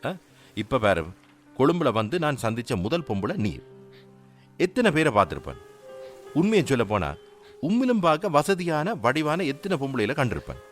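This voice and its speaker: An actor playing a gentle young husband with a Sri Lankan Tamil accent, earnestly reassuring his wife. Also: mid-range voice, sing-song, moderate pace, long pauses, soft background music, lightly acted. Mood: tender. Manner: soothing, earnest explaining. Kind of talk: radio drama acting.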